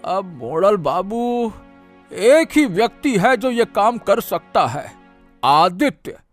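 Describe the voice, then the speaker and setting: A man's voice: high-pitched, very animated, slow, soft background music, full character voice. A man playing a distressed, suspicious farmer in a cartoon moral story, complaining anxiously. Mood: anxious, accusing.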